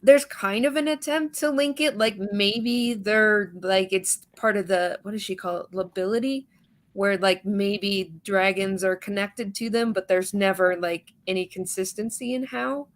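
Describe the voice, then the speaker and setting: Young woman calmly walking through what happened in a book, normal mid voice. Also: medium pitch, measured pace. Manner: calm, explains plot points. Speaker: book club co-host. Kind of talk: casual live book discussion.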